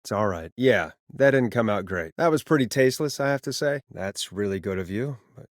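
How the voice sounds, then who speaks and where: A man's voice: fairly low pitch, expressive, fairly quick, dry recording, clean studio sound, natural voice. A man voicing a weary, sarcastic late-night radio call-in host in a video game, with a wry, conversational, easily exasperated manner. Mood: friendly, reassuring. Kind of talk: video game voice acting, radio patter and banter.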